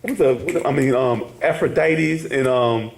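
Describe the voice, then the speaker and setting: A man's voice: mid-range voice, moderate pace, gravelly, echoey room. A man teaching a casual class, rambling and laughing, often asking "you hear me?". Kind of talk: casual spoken class lecture.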